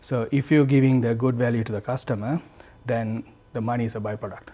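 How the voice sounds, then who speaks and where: A man's voice: medium pitch, fairly even intonation, a little fast, dark tone. A man in his thirties from Sri Lanka with a Sri Lankan accent. He speaks earnestly as a guest in a podcast interview and often repeats and restarts phrases. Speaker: guest, candle business founder.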